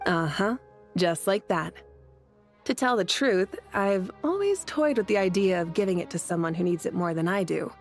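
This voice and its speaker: A woman's voice: lowish voice, animated, normal speed. A woman voicing a confident, easygoing female leader god in a video game, with a breezy, teasing manner. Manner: breezy, self-assured, teasing. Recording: game music behind.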